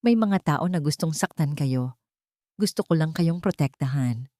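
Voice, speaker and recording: A woman voicing a secretive, protective rich young man in a radio drama, hurried and evasive. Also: low-pitched, expressive, mellow, no echo, studio quality. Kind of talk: Filipino radio drama acting.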